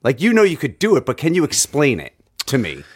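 A man co-hosting a casual podcast, probing with questions and thinking out loud. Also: medium pitch, huge pitch swings, brisk, breathy edge. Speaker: podcast co-host. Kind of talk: casual chat.

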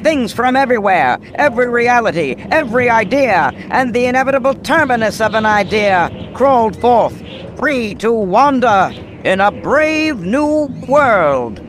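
A man's voice: very high-pitched, very animated, a little slow, noisy background, full character voice. A man playing the narrator of a radio mystery, reading scripted dramatic narration in a portentous, vivid way.

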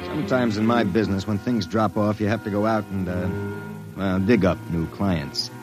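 An actor playing a wry, slangy, hard-boiled private detective in an old-time radio drama. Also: male voice, on the low side, moderate pace, loud music behind the voice, natural voice. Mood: grim, wry. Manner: wry, slangy tough-guy delivery. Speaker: narrator and lead actor. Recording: vintage radio drama recording.

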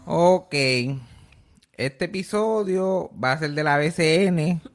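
Animated gossipy podcast host man. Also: high-pitched, takes it slowly, noisy background. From Texas. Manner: animated storyteller, acts out quoted voices. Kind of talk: casual gossipy chat.

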